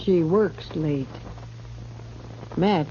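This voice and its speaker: A woman's low voice on a muffled, faintly hissy old radio recording. She is a worldly western saloon woman, shrewd and dry, talking matter-of-factly. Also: very slow. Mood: dry, knowing. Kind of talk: 1950s radio western acting.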